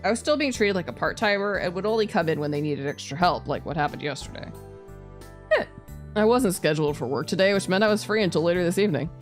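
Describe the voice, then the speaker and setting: A woman's voice: on the low side, lively intonation, quick pace, faint music behind. A woman streamer playing a visual novel live, reading the narration aloud and adding playful, casually sweary asides. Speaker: streamer, virtual YouTuber.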